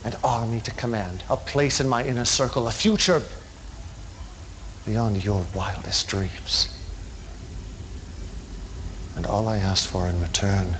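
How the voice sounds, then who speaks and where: An actor playing a charismatic, menacing male cult leader in a game cutscene, speaking in a measured, commanding way. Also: lowish voice, fairly bright tone, slight background noise. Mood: bitter. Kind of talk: theatrical game cutscene acting.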